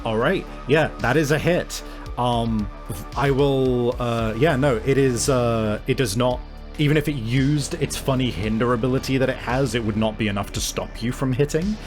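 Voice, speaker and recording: A man narrating a tabletop roleplaying game as game master, speaking casually and haltingly, with some swearing. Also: mid-range voice. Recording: music playing behind. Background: background music.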